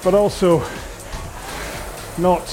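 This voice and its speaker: A man's voice: high voice, level tone, drawn-out words. A man coaching a rowing workout in a casual, rambling, jokey and conversational way. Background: music.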